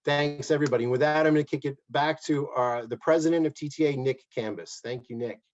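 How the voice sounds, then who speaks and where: A man's voice: on the high side, fast. A man giving a friendly, upbeat presentation on a conference call, with frequent fillers. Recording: dull, muffled call audio.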